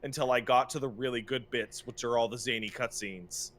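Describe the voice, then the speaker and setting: A man's voice: medium pitch, steady tone, brisk, a bit bright, slight background noise. A man co-hosting a podcast, ranting casually and comedically about games, exasperated and emphatic, stretching words for effect. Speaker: podcast co-host and game streamer.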